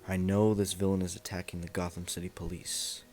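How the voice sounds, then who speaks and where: A man playing a brooding, threatening masked vigilante in an amateur superhero radio play, with a low gravelly voice. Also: flat delivery, a little fast, a little mellow, soft background music, lightly acted. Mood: brooding, determined.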